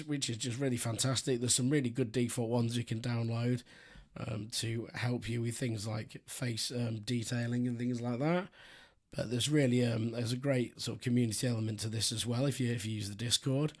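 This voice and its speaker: Hoarse, raspy-voiced man hosting a loose, chatty software tutorial. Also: medium pitch. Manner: relaxed, rambling, frequent ums and restarts. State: hoarse, sore throat. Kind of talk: screen-recorded software walkthrough.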